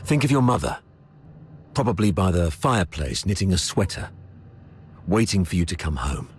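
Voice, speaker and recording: A grizzled old commander for a video game, with an exceptionally deep voice. He speaks in a measured, authoritative and fatherly way with dry wit. Faint ambient noise sits underneath. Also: big pitch swings. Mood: reassuring. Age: older. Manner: measured, authoritative orders and speeches. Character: gruff old veteran military commander, fatherly and dryly wry. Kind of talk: video game cutscene acting.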